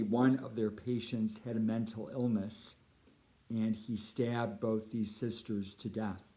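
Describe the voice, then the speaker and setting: A middle-aged man with a Californian American accent, a priest giving a prepared appeal talk in measured, deliberate phrases. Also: on the low side, warm tone. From United States (Chicago and Southern California).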